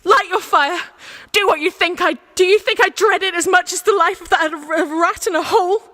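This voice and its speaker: A woman's voice: extremely high pitch, normal speed, a bit bright, big-room sound, a little hiss or hum. A woman playing a passionate, pious young peasant warrior in a radio play, plain-spoken and earnest. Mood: defiant anguish. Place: actor's home, live remote recording.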